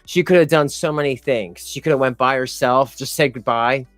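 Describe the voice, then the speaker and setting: A man's voice: medium pitch, normal speed, a bit bright, no room sound. Sarcastic man addressing viewers as folks. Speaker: commentary streamer. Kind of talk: reaction commentary.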